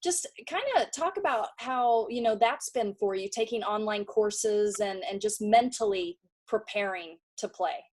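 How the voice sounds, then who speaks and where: A woman's voice: medium pitch, unhurried, few pauses. A woman hosting a casual interview, asking long, enthusiastic questions with a few "uh" fillers. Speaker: interviewer, video director.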